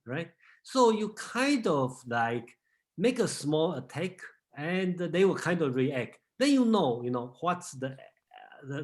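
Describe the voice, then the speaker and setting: A man from Taiwan leading a casual group discussion, often repeating words and saying okay while he thinks. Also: fairly high pitch. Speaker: discussion leader.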